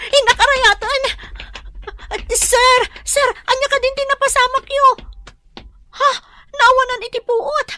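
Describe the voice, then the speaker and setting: A young housemaid in a radio drama. Her voice is high and bright, with an edge to it. She speaks deferentially, an anxious servant eager to please. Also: fairly even intonation, measured pace, pauses often, no echo, heavily acted. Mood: panicked. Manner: polite and deferential, pleading when distressed.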